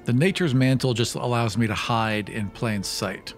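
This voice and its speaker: A man's voice: medium pitch, moderate pace, music in the background. A man chatting casually as a player in a tabletop role-playing game, explaining what his character does.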